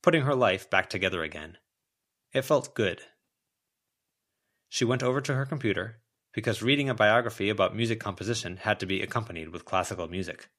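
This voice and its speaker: A man's voice: lowish voice, a little fast, fairly bright tone. A man reading a story aloud as a scripted audiobook narration.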